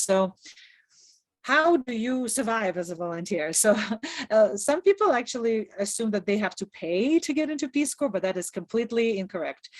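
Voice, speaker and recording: Woman from Kazakhstan, native Russian speaker, conversational info-session presenter on a video call, mid-pitched, dry close sound. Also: no room sound. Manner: conversational, upbeat, laughs often. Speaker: Peace Corps presenter and returned volunteer. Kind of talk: informational presentation.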